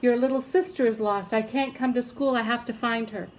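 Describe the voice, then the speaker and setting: A woman's voice: on the high side, steady tone, a little fast, natural voice. Woman playing a busy, practical mother. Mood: worried.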